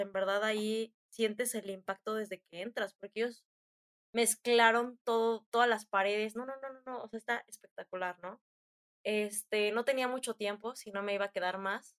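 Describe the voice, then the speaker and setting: A young adult woman with a Mexican accent telling stories casually, in a chatty, slangy way with acted-out bits of dialogue. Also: medium pitch. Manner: chatty, informal, slangy, animated retelling with quoted dialogue. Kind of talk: casual storytelling chat.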